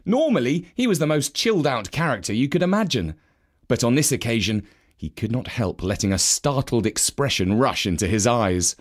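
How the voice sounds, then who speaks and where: A man narrating a children's story from a script in an expressive, measured manner. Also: medium pitch.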